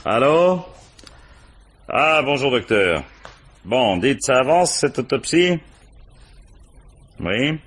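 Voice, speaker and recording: An actor playing a brusque, authoritative French police boss in a 1950s-style radio mystery, with curt colloquial phrasing. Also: male voice, medium pitch, sing-song, takes it slowly, pauses often, fairly bright tone, breathy edge, heavily acted. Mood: pondering. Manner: curt, authoritative, colloquial, frequent "bon" and "hein".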